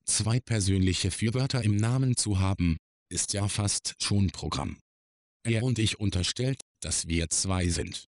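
Male actor doing low dry-recorded voice, wry brooding guy being interviewed, formal and deliberate, radio play. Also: no room sound. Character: self-reflective man Erich, wry, brooding interviewee. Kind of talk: scripted monologue in an audio drama.

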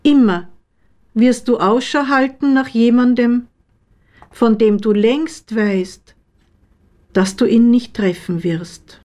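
A woman reading her own poems in a measured, deliberate way with pauses between phrases. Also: a little high, warm tone. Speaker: poet, author reading own work. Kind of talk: literary poetry reading.

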